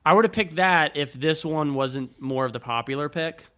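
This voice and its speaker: A man's voice: a little high, moderate pace, a bit bright, clear, resonant tone. A man co-hosting a film podcast, talking casually and informally with some slang. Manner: informal, slangy, occasionally profane. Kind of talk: casual movie discussion.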